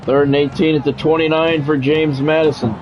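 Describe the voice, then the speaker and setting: Male game streamer, slightly high-set voice, football play-by-play with casual grumbling asides, faint music underneath. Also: flat delivery, fairly quick, dull audio. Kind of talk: sports play-by-play commentary mixed with casual stream chat.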